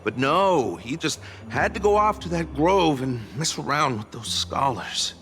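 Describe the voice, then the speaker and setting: An actor playing a busy, irritable city worker in a game, talking in a brusque, grumbling manner. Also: male voice, a little high, lively intonation, measured pace, a bit bright, faint music behind. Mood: bitter, shaken. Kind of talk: dramatic game voice acting.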